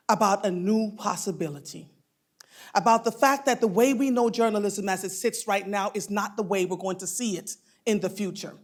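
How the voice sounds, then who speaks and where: A woman in her fifties with a boomy voice, emceeing a conference and effusively addressing the audience from the stage. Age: 50s. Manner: effusive, calls people darling. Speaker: mistress of ceremonies.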